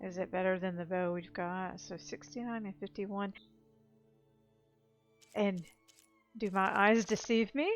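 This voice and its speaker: A woman streamer talks casually through a video game, thinking aloud in an upbeat manner. Game music plays clearly behind her mid-pitched voice. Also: steady tone, unhurried, long pauses. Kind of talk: casual gameplay chat.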